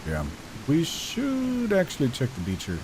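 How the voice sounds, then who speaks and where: A man's voice: fairly high pitch, wildly swooping pitch, a little slow, noisy background. A man with Finnish as his first language, streaming a game live and chatting casually as he reads out viewer messages. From Sweden. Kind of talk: casual live-stream chat while gaming.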